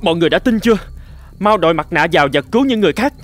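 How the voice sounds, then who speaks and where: Higher-pitched, urgent rescue worker. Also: male voice, expressive, racing, faint music behind. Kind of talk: cartoon drama dubbing.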